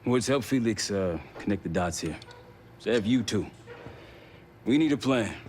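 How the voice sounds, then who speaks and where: An actor playing a curt, defensive covert team leader in a video game. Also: male voice, fairly low pitch, animated, normal speed, a little mellow, slight background noise, close to an everyday voice. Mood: businesslike. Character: terse, wounded male covert team leader. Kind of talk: video game cinematic acting.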